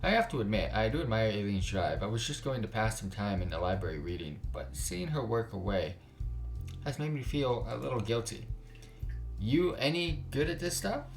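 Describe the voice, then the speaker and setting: A man's voice: on the low side, sing-song, fairly quick, creaky, faint music behind. A man hosting a gaming video, reading a visual novel aloud and adding casual, slangy commentary. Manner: informal, reacts with slangy asides. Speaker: gaming channel host.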